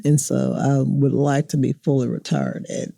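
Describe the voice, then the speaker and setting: Woman in her sixties, very dark relaxed tone, noticeable vocal fry, casual reflective guest. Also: very deep voice, normal speed, no room sound. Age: 60s. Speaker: interview guest.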